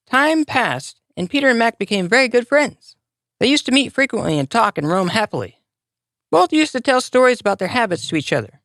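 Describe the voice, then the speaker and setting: A narrator reading a scripted children's moral story in an expressive, deliberate storybook manner. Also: mid-range voice, dry recording.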